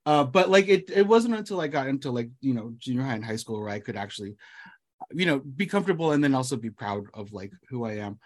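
Animated man telling stories, many restarts. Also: medium pitch, quick pace. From United States. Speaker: podcast co-host. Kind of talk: casual chat.

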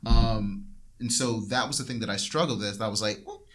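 A man's voice: on the low side, fairly quick, a bit bright. A young man hosting a casual podcast discussion, rambling and correcting himself as he talks. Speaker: podcast host. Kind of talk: casual panel chat.

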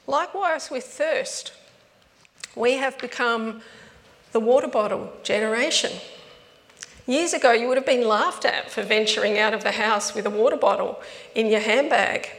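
Female pastor, strained gravelly voice, chatty storytelling sermon, long church reverb. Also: fairly high pitch, moderate pace, fairly bright tone. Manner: conversational storytelling preaching. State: strained voice from asthma.

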